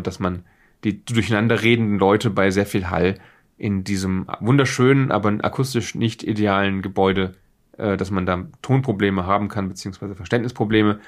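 A man hosting a podcast, explaining things casually and off the cuff in a rambling, self-deprecating way. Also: on the low side. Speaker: podcast host. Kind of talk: casual unscripted podcast introduction.

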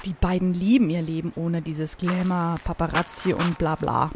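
A woman's voice: fairly low pitch, steady tone, normal speed, noisy background, natural voice. A woman playing a breezy angel who grants a twisted wish in an amateur audio drama. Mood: matter-of-fact.

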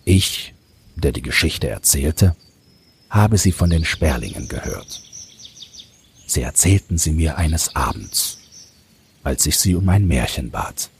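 Very deep-voiced male storyteller. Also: big pitch swings, slow pace, vocal fry, pauses often. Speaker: storyteller and podcast host. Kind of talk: scripted fairy-tale narration. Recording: faint background noise.

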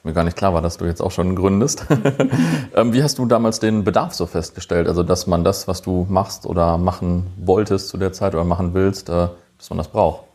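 A man's voice: low voice, moderate pace, a little mellow. A man, interviewing in a casual, chatty, informal way. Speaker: interviewer, fellow founder.

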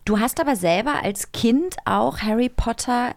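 A woman's voice: on the high side, expressive, normal speed, creaky. A woman, bantering casually as a podcast host.

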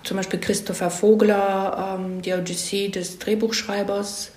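A woman's voice: medium pitch, flat delivery, a little slow, darkish tone, slight background noise. A woman explaining things informally in an interview, often checking with little tag questions as she goes. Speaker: screenwriter being interviewed. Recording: roomy, echoing room sound.

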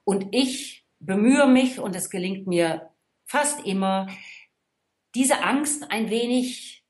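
A woman's voice: medium pitch, takes it slowly, a little mellow. A woman from the Rhineland, a trainer and coach, explaining her views emphatically and checking in with the listener. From Rhineland, Germany. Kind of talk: conversational expert talk. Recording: echoey room.

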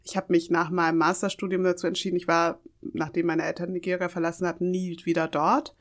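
A woman's voice: lowish voice, flat delivery, fast. A woman in her thirties, a podcast guest, speaking reflectively and emphatically, dropping English phrases into her talk. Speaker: interview guest. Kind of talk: podcast conversation.

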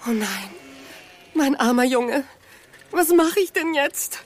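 A woman's voice: high voice, expressive, takes it slowly, a little hiss or hum. A woman playing a worried farm mother in a German children's audio drama. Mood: distressed. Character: Misses Price, worried mother on a lonely farm.

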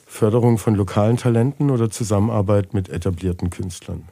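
A man's voice: on the low side, fairly quick, warm tone. A man hosting a podcast in a casual, conversational interview style. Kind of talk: casual chat and short questions.

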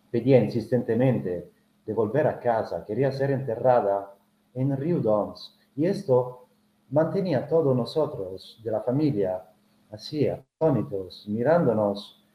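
A middle-aged man speaks Spanish with an Italian accent, his first language being Italian. He tells his story slowly and thoughtfully, pausing often on filler sounds. The recording sounds roomy and a little muffled, at an even, average pitch. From Italy. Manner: hesitant, reflective, many filler sounds. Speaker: guest. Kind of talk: personal storytelling in an interview.

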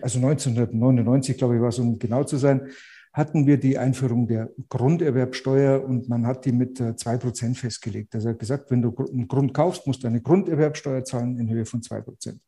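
A man's voice: mid-range voice, fairly even intonation, a little fast, mellow. A man with a Bavarian accent, a real estate agent guest on a podcast who speaks outspokenly but with many hesitant restarts. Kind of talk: podcast interview.

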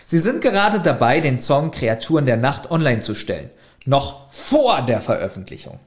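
A man's voice: medium pitch, very animated, moderate pace. A man co-hosting a podcast in casual chat, keeps asking his partner questions and reads plot summaries aloud. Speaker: podcast co-host.

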